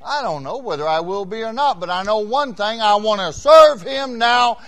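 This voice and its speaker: High-voiced preacher in his sixties, surging. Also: animated, moderate pace. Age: 60s. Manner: preaching with big swings between quiet asides and loud shouted emphasis; repeats phrases in rhythmic runs. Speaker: Baptist pastor. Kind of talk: sermon.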